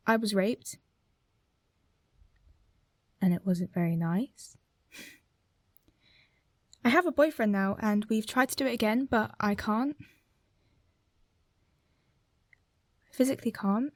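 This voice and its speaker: A woman plays a hurt young woman in a confessional radio play. She speaks haltingly and nervously, at an ordinary female pitch, with a very dry, close sound. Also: no room sound, close to an everyday voice. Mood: pained. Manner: hesitant, halting. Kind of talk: confessional radio drama monologue.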